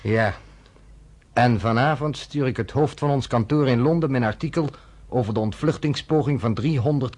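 An actor playing a sardonic, probing journalist in a radio drama, with a teasing manner. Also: male voice, medium pitch, darkish tone, close to an everyday voice.

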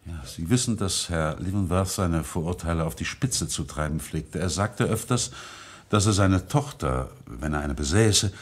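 An actor playing a discreet, deferential confidant with hesitant, careful phrasing, in an old German radio crime drama. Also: male voice, sits low, normal speed, breathy edge. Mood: hesitant confiding.

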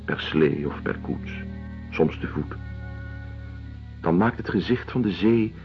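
A man playing a reflective, compassionate doctor in a Dutch radio drama, speaking in measured, philosophical phrases. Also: deep voice. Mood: reflective, solemn. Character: reflective, compassionate, morally indignant male doctor traveling through Russia. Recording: dull lo-fi radio recording, music behind.

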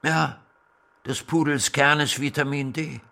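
Frail old art historian, slow, bright-voiced. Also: male voice, on the high side, steady tone, vocal fry, exaggerated voice. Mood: eager, musing. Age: older. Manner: halting, repetitive, insistent. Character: frail, tearful old art historian, wistful, eager, stubborn. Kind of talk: radio drama acting.

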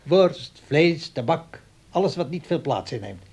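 An aging father in a radio drama, oddly calm and a little sly. His husky voice carries a wry, aggrieved, hesitant manner. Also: a little high, unhurried, exaggerated voice. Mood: wry, defiant. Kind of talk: radio play acting.

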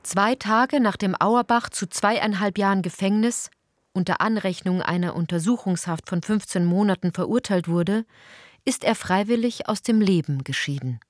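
An actress playing a sober chronicler, narrating deliberately in a scripted radio drama. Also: female voice, mid-range voice, no echo, studio quality, lightly acted. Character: sober female chronicler narrating a political scandal.

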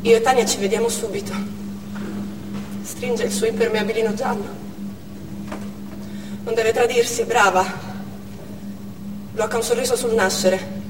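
An anxious, protective mother among hostages, played by a stage actress, narrates in the present tense. Her voice is fairly high, recorded at a distance in an echoing theatre with clear noise. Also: steady tone, a little slow, pauses often, lightly acted. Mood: watchful. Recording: echoey theatre, far mic, noisy.

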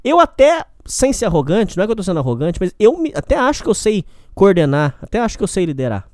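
A man with a Brazilian countryside accent talks alone into a close microphone in a somewhat high voice. His delivery is rambling and repetitive, and he keeps turning to address the listener directly. The sound is dry, with no room echo, and his pitch swings widely. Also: wildly swooping pitch, fast, a little mellow. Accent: Brazilian countryside (caipira). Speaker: podcast host. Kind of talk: casual solo podcast monologue.

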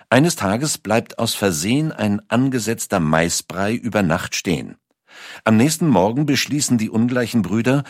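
A man reading scripted documentary narration in a measured, carefully articulated storytelling manner. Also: lowish voice, no room sound, studio quality.

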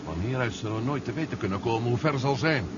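A man acting a brisk, decisive adventurer in a radio drama. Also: medium pitch, lightly acted. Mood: weighing options. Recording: muffled, noisy old radio recording.

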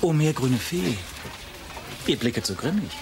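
An actor playing a playful florist in a radio play, teasing in mock-archaic phrases. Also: male voice, a little high, expressive, unhurried, background noise.

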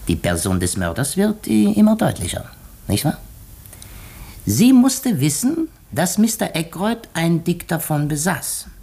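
A methodical detective in a 1950s radio drama. His husky voice moves in wide, animated swoops as he sets out his reasoning. He is deliberate and theatrical, leaving pointed pauses. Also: fairly high pitch, heavily acted. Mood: pointed, accusing. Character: shrewd, methodical male detective unmasking a murderer. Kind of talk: 1950s German radio play acting.

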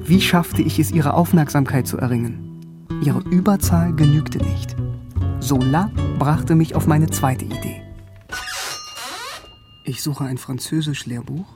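An actor playing a bookish man wryly recalling his teenage years in a radio drama, in a measured, reflective way. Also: fairly high pitch, loud music behind the voice, close to an everyday voice. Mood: earnest. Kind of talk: radio drama first-person narration and scenes.

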